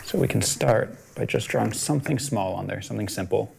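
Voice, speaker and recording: A man's voice: on the low side, a little fast, vocal fry, a little hiss or hum. A young man co-hosting a children's storytime, casually explaining things in a small studio. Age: young adult. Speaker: storytime co-host.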